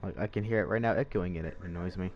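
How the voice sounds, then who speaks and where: A man's voice: low-pitched, quick pace, highs cut off, slight background noise. A man streaming and joining a casual chat, with teasing, joking banter.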